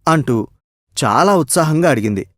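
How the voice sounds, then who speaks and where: A man's voice: fairly high pitch, lively intonation, normal speed, no echo, clean studio sound. A man narrating a scripted moral story as its storyteller.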